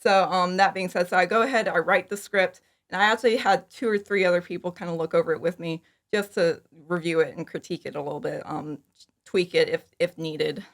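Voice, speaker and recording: A woman's voice: fairly low pitch, steady tone, brisk. A woman talking casually and informally as an interview guest, chatty and prone to acting out her own remarks. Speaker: podcast guest, fan audio drama creator.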